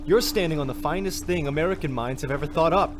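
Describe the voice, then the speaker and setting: An actor playing a young, dutiful navy lieutenant who speaks formally and earnestly. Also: male voice, a little high, fast, noisy background, natural voice. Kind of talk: amateur radio drama. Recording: music underneath.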